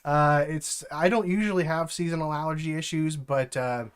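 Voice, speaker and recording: Middle-aged male streamer, congested, casual and halting delivery with lots of fillers, smooth and fairly high voice. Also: fairly even intonation. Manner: halting, with many fillers and restarts. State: stuffed up from allergies. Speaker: streamer and developer mentor. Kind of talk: casual live-stream chat.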